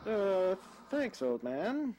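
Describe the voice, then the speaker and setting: A man's voice: high voice, big pitch swings, very slow, warm tone, exaggerated voice. A man playing a casual, polite young husband, a stranded traveler in an audio drama. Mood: polite, apologetic. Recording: faint background noise.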